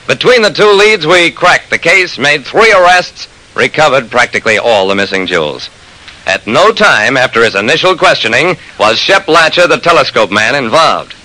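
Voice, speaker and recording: A man's voice: mid-range voice, expressive, moderate pace, sharp tone. An actor playing a shrewd, persistent private investigator narrating his case in an old-time radio drama, with clipped, hard-boiled delivery. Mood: measured, matter-of-fact. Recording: noisy vintage radio recording.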